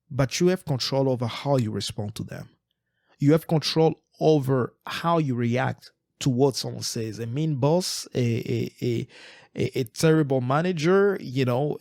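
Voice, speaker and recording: An earnest man from Eastern Congo, in his forties, co-hosts a podcast in a reflective, casual way. His voice sits at a middle pitch and is recorded close and dry. He often stumbles over and repeats words as he restarts his sentences. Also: darkish tone, no echo. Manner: earnest, frequent word repetitions and restarts. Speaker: podcast co-host.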